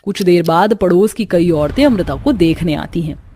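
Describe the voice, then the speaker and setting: A woman's voice: on the low side, normal speed, dark tone, faint music behind. A woman narrating a scripted cartoon story.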